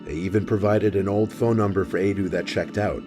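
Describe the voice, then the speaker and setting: A man reading a scripted documentary narration in a steady, even storytelling manner. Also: deep voice, brisk. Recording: voice-over with clear music behind.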